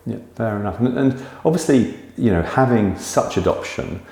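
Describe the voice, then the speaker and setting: A male interview host with a fairly low voice and a polite, chatty manner. He often closes his points with tag questions. The recording has a noticeably echoey room sound. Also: lively intonation, normal speed, gravelly, a little mellow. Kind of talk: hosted interview with a scripted intro.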